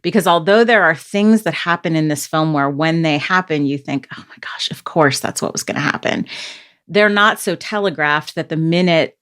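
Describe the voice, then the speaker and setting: Woman host, low voice, measured critic on a film panel. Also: fairly bright tone, clean tone. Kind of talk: casual discussion.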